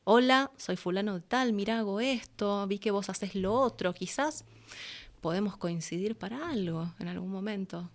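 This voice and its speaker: An Argentine woman talks casually as a guest in an interview. Her delivery is expressive and reflective, and she slips into short acted-out quotes to illustrate what she means. Her voice sits in a middle register. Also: medium pitch, normal speed. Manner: expressive, acts out little quotes as she explains.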